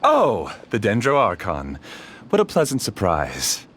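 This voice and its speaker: An actor playing a polite, learned researcher in a video game, speaking in a composed, formal, measured way with some guardedness. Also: male voice, fairly low pitch, wildly swooping pitch, fairly bright tone, slight background noise, exaggerated voice. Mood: courteous. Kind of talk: English video game voice acting.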